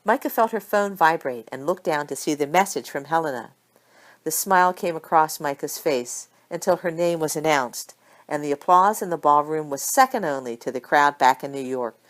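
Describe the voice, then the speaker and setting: A woman reading a story aloud as an amateur audiobook narrator, with expressive delivery. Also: sits low.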